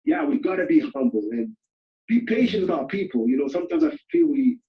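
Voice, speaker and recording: A man with a fairly high voice talks about patience and humility in a loose, repetitive way, often adding "you know". The sound is roomy and dull, like an online call. He speaks with the ease of a preacher among his own people. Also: wildly swooping pitch, brisk. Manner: warm, repeats "you know", exclamations like hallelujah. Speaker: preacher answering questions. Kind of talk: casual spiritual talk on a call. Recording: echoey, dull lo-fi call audio.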